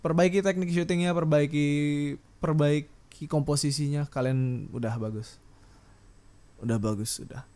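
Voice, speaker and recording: A man's voice: fairly high pitch, steady tone, unhurried, darkish tone. A man with an Indonesian accent delivering a casual, unscripted podcast rant in a blunt, rambling, slangy way with some mild swearing. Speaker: solo podcast host. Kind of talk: unscripted casual ranting monologue.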